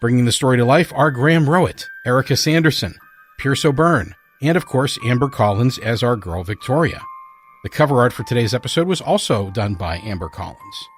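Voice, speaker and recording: A man's voice: medium pitch, expressive, brisk. A man hosting a fiction podcast, reading a scripted show introduction. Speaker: podcast host and writer. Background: music.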